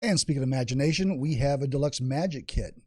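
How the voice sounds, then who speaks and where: A man's voice: medium pitch, fast, a little mellow, dry recording, clean studio sound. A man co-presenting products without a script, hesitant and repeating words as he goes. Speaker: co-presenter of library program kits.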